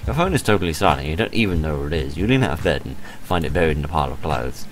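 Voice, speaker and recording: A British man reading a visual novel aloud as a let's play host, adding expressive, sarcastic, deadpan asides over game music. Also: rumbling low, racing, slight background noise. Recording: music behind the voice.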